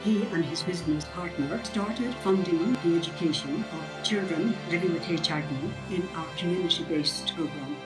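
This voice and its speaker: Female, very low voice, measured, echoey room, music underneath. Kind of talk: testimonial in a campaign video.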